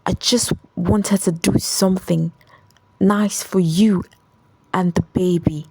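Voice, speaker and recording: A woman storyteller voicing a gentle, humble young husband who speaks quietly in a folktale. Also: on the low side, fairly even intonation, slow, creaky, slightly rough, no echo, heavily acted. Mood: quietly hurt. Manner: quiet, soft-spoken.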